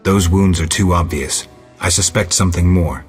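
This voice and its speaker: A man voicing a stoic, gruff monster hunter in a video game, terse, deadpan and sardonic. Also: low-pitched, normal speed, soft background music, close to an everyday voice. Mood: analytical, deadpan.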